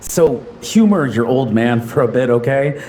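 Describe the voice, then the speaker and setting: An actor playing a loving, gently teasing adventurer father in a video game, speaking with measured, sincere tenderness. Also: mid-range voice, slight background noise, low-quality recording.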